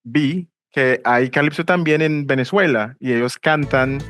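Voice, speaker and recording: Hesitant podcast host, faint music behind. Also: male voice, medium pitch, a little slow, a bit bright, dry recording, clean studio sound. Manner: hesitant, many ems and repeated words. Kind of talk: casual interview conversation.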